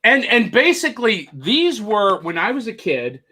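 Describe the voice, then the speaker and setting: Excited bright-voiced man. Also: high-pitched, normal speed, husky. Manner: enthusiastic, rambling, showing off finds. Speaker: co-host. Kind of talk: casual chat.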